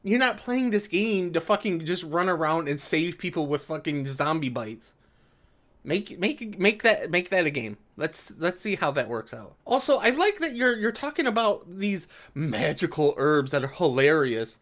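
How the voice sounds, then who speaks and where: A man with a voice pitched a little high. He delivers off-the-cuff commentary in a sarcastic, exasperated way, with plenty of swearing. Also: sing-song, normal speed, a bit bright. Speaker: video commentator. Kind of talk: casual reaction commentary on a read-aloud article.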